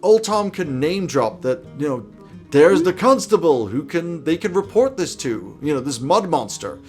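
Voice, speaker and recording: A man's voice: a little high, big pitch swings, normal speed, a little mellow, faint music behind. A man in his thirties presenting semi-scripted game master advice to camera in a wry, emphatic, irreverent way. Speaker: host giving game master tips. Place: small indoor home office.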